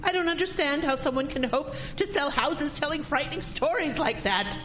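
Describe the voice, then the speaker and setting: A woman's voice: high-pitched, expressive, normal speed, a bit bright, soft background music. A woman playing a prim, pious character in an audio drama, stern, flustered and easily indignant, denying her fear. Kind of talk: scripted audio drama acting.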